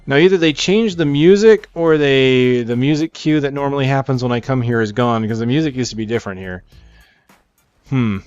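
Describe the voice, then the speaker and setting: A man's voice: medium pitch, normal speed, darkish tone, clean tone, dry recording, lo-fi sound. A man giving casual, unscripted gameplay commentary as he presents a game, rambling and thinking aloud with game music behind him. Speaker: gamer presenting a game preview.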